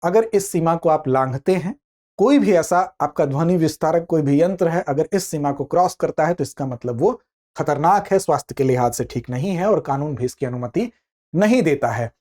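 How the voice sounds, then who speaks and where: Mellow dark tone, didactic lecturer, explanatory exam-prep style, rhetorical questions, rephrased key points. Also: medium pitch, animated, moderate pace. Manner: didactic, posing questions and then answering them. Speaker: current affairs lecturer.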